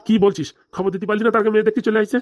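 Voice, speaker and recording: A man doing a rapid-fire older village father, cartoon. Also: high voice, level tone, no echo, clean studio sound, natural voice. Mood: excited. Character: older village father, easygoing, eager to marry off daughter. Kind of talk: fast comic cartoon dialogue.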